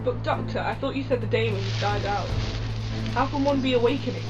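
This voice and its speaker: A woman's voice: fairly high pitch, fairly quick, over loud music, natural voice. Jenny, a woman playing a curious, skeptical companion. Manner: questioning, lightly sarcastic. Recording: lo-fi fan audio drama.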